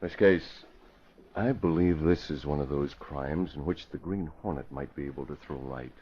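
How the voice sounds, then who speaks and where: Very deep, composed hero. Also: male voice, a little hiss or hum. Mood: thoughtful. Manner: measured, deliberate. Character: composed, thoughtful newspaperman crime fighter. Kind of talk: old-time radio drama acting. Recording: muffled vintage radio recording.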